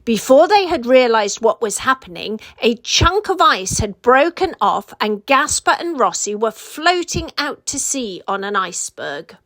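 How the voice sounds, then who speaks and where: A woman reads a children's story in a fairly high voice with very lively intonation. She takes her time, as a storyteller does for young listeners. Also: a little slow, hardly any pauses, no room sound. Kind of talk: scripted children's story narration.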